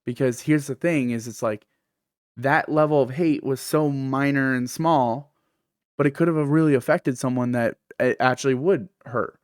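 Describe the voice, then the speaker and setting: A man's voice: mid-range voice, moderate pace, no room sound. Lisping man talking casually, close and dry. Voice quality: lisp; th pronounced as f. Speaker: podcast host and streamer. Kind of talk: casual solo podcast talk.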